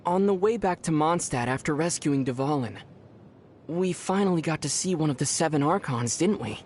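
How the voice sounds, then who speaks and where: A man playing a young adventurer hero in a video game, with a youthful voice and a measured, thoughtful, gently wry manner. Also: on the high side, lively intonation, slight background noise, natural voice. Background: music.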